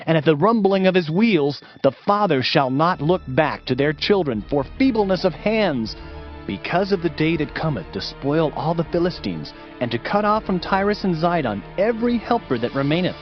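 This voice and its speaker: A man narrates scripture in a solemn, declamatory dramatized style. His voice sits a little high and moves with very lively, sweeping intonation. The recording is slightly dull, with music clearly heard underneath. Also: no echo. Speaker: audiobook narrator.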